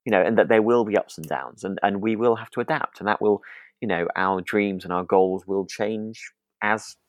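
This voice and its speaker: A man's voice: lowish voice, moderate pace, no echo. A British man co-hosting a podcast in casual chat, agreeable and a little rambling as he feels his way through sentences. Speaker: podcast co-host.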